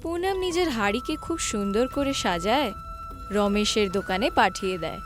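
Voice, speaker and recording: A woman narrates a cartoon tale in a scripted storybook style. Her voice is on the high side. Music plays behind her. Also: wildly swooping pitch, normal speed.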